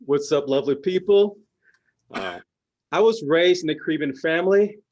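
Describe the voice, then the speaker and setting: Comic guy, lively pitch going up and down, deadpan punchlines, bit high for a man. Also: dull audio. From Canada. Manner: deadpan punchlines, mock voices. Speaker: stand-up comedian; parent. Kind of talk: stand-up comedy set.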